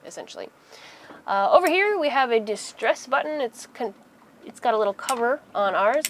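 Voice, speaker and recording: A woman's voice: on the high side, a little hiss or hum. A woman in her thirties giving a casual, conversational how-to explanation inside a small boat cabin, using everyday examples. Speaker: host of a sailing how-to video.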